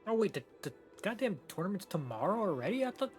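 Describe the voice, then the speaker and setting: A man's voice: sits high, sing-song, fast talker. A man giving casual, slangy, offhand reaction commentary as a game streamer. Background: game music.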